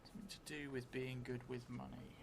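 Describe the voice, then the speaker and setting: A middle-aged man thinking aloud as he improvises a solo tabletop game, hesitant and musing with long pauses. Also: medium pitch. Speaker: solo role-player and streamer. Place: indoor theatre room, seated at a table. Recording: clear steady background noise.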